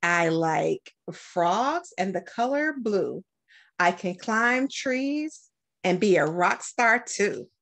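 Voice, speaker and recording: A middle-aged American woman from Detroit, a school principal, doing an expressive storytime read-aloud with deliberate phrasing. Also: medium pitch. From Detroit, United States. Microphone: webcam at about arm's length.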